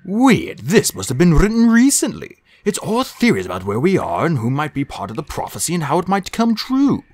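Woman voicing a young boy dragon, storybook, bookish, thoughtful, slightly unsure, expressive pitch, close dry sound. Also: brisk, dry recording, exaggerated voice. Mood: intrigued. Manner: thoughtful, studious. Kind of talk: storybook character voice.

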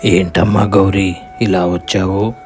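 A grasping old village landowner played by a man lectures in a low voice with a restrained melody. His manner is deliberate and admonishing, suited to a cartoon moral tale, with faint music underneath. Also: little pitch movement, a little mellow. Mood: stern, admonishing. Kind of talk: moral story cartoon dialogue.

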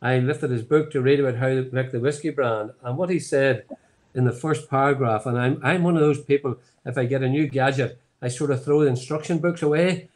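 A man's voice: medium pitch, fairly quick. A man in his seventies with a Northern Irish accent, a distillery founder telling his story in a rambling way with many fillers, on a video call from a home office. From Northern Ireland. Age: 70s. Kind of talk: online tasting conversation. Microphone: laptop webcam on video call.

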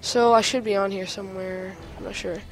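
A male gaming host with a stuffy nose from a cold, chatting excitedly while clicking through game menus at home. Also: sits high, fairly quick, faint music behind, poor audio. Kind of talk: casual game menu walkthrough.

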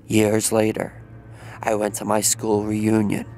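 A man narrating a scripted story in a measured, flat manner. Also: lowish voice, slight background noise.

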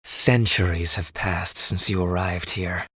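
Deep-voiced, solemn prince. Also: male voice, fairly even intonation, bright, forceful tone, husky, dry recording, exaggerated voice. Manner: measured, solemn storytelling. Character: calm, solemn, mournful royal prince, persuasive. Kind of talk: dramatic video game acting.

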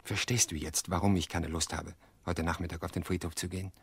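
A very deep-voiced, measured, weary widower. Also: male voice, fairly even intonation, husky, no room sound, heavily acted. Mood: resigned, reflective. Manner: measured, reflective, hesitant pauses. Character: weary, earnest widower confessing to his sister-in-law. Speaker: actor in an audio drama. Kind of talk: radio play dialogue.

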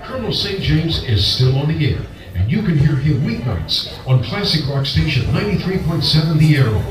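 A man's voice: on the high side, sing-song, moderate pace, distant mic, a crowd in the background. A man reading a formal scripted introduction at an award ceremony. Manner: formal, announcing. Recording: echoey hall with music, from afar.

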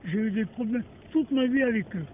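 A man's voice: very high voice, a little fast. An older man answering a street interview outdoors, talking bluntly and with indignation into a handheld mic. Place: outdoors by a street car park. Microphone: handheld mic held by interviewer. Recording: phone-like sound, street noise.

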